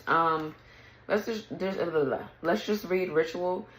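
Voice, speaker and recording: Raspy-voiced woman giving a tarot reading. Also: fairly low pitch, measured pace, echoey room, low-quality recording. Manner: chatty, clears throat often, reads card texts aloud. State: raspy morning voice. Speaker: tarot reader. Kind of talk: casual tarot reading to camera.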